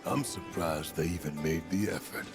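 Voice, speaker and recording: Guy doing a gruff skeptical game tough guy, really low and gravelly, loud music behind. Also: normal speed, close to an everyday voice. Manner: gruff, blunt. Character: gruff, blunt, skeptical male fighter. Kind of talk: video game voice acting.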